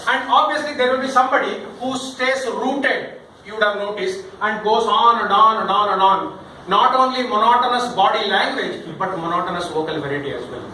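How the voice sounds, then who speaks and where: A man with an Indian accent giving an interactive public speaking training talk, jokey and animated, often checking with his listeners. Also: sits high, brisk. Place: indoor room in front of a live group. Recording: very echoey room, distant mic, noisy.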